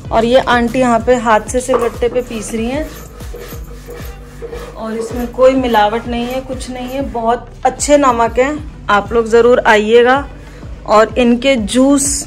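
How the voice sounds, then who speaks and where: A young woman from the hills talks into a handheld phone as she travels, casual and teasing. Her voice sits high, and background music runs steadily beneath her words. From Uttarakhand hills. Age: young adult. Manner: chatty, teasing, recommends places to viewers. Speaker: travel vlogger. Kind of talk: casual travel vlog chat. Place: outdoors in hills and a roadside eatery. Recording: music playing under voice.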